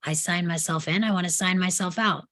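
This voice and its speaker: A woman's voice: on the low side, a little fast, fairly bright tone, lightly acted. A woman playing a defiant, sardonic young psychiatric patient in a screenplay table read, with a guarded, dry, challenging delivery.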